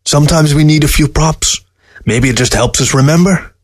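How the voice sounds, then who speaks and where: A man's voice: on the high side, normal speed, no echo, clean studio sound, pitched above the performer's own voice, close to an everyday voice. Man playing an exasperated old taxidermist. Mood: defensive. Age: older. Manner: exasperated, defensive. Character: tired, irritable old male taxidermist.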